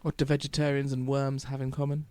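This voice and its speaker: A man with a Liverpool accent rattles through his lines at a brisk clip, chatty and jokey. His voice sits at an ordinary pitch and sounds close and dry, with no room sound around it. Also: completely flat delivery, dark tone, smooth, no echo. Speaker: podcast co-host. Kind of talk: casual comedic chat.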